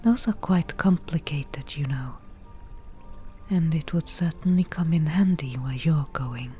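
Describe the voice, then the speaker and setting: A woman playing a wry forest witch who bargains over potions, in a soft breathy whisper with a slow, dryly teasing manner, as ASMR fantasy roleplay. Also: low-pitched, soft background music. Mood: calm, wry.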